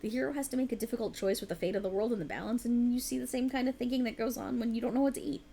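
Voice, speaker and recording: A woman's voice: on the high side, racing, vocal fry, warm tone, a little hiss or hum. A woman playing a tired, passionate writer girlfriend in an intimate ASMR roleplay, rambling earnestly and getting playfully defensive. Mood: passionate, explaining.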